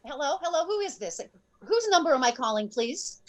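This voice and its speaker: A woman's voice: high-pitched, sing-song, a little fast. A woman playing an anxious, querulous invalid wife in an old-time radio thriller, fretful and pleading in manner. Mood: anxious, confused.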